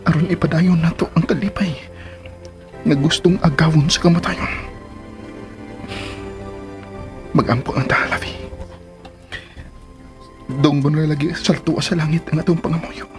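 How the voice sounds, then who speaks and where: A man's voice: fairly high pitch, close to an everyday voice. An actor playing an ardent, tender male lover with a Filipino accent in a sentimental radio drama, speaking earnestly over music. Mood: reassuring, fervent. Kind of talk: Philippine radio drama acting, sentimental romance.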